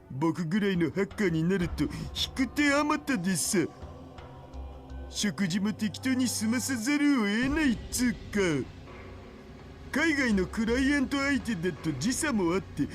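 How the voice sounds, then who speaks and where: A lazy geeky hacker guy in a Japanese visual novel. The voice is high and very animated, whiny and slangy, always wheedling, with music playing underneath.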